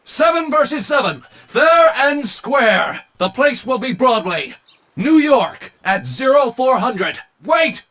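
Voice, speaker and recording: Casting: pompous, vengeful superhero leader for an animated game, slow, sweeping, melodramatic declamation. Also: male voice, very high-pitched, heavily acted. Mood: defiant challenge. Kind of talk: animated game cutscene acting.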